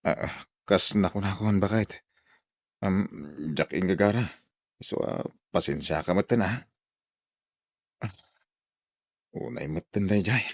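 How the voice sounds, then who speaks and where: A man's voice: deep voice, slow, long pauses, dry recording, lo-fi sound, heavily acted. A man with an Ilocano accent playing a hard-drinking husband in an audio drama, boisterous with friends, belligerent or sheepish at home.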